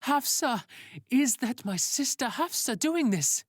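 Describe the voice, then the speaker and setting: Man acting teenage boy, raised pitch, emphatic, confrontational, audiobook story, dry sound. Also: sing-song, takes it slowly, breathy edge, dry recording, full character voice. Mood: shocked, pleading. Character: agitated teenage boy searching for his sister.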